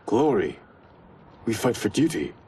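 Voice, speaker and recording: A man's voice: on the low side, big pitch swings, measured pace, warm tone, a little hiss or hum. An actor playing a loyal young male clan warrior who speaks earnestly and insistently.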